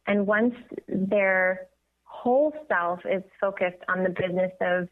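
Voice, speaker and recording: A woman speaking earnestly as an interview guest, explaining her work as a voice coach over a phone. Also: medium pitch. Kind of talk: conversational radio interview. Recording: tinny, narrow phone line.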